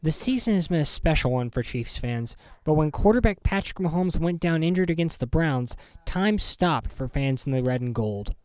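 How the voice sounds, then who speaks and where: A man's voice: on the high side, animated, moderate pace, few pauses, darkish tone, smooth. A man working as a sports reporter and play-by-play announcer, with an emphatic broadcast delivery.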